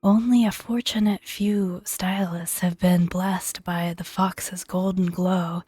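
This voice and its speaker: A woman speaking softly in a hushed, near-whispered ASMR style, gentle and soothing as she narrates. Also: on the low side, breathy edge, dry recording. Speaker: gaming ASMR video creator. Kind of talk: soft-spoken ASMR narration, reading and casual commentary. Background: faint soft music.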